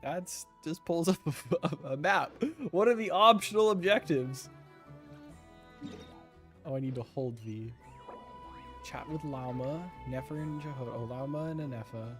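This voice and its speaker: Woman streamer, casual gameplay commentary, playful, expressive, faint music behind. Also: sits low, a little slow, long pauses. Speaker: gaming streamer.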